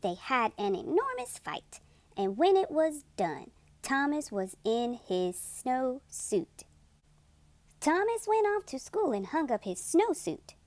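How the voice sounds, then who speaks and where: A woman hosting storytime, reading a children's book in an expressive, deliberate and emphatic narration. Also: sits high, no echo. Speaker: storytime host and reader.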